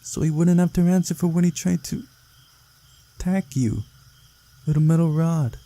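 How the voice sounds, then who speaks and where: A man voicing an excitable, accusing young camp girl from a visual novel, insistent and indignant. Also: on the high side, moderate pace, no room sound, pitched above the performer's own voice, lightly acted. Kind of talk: visual novel character read-aloud.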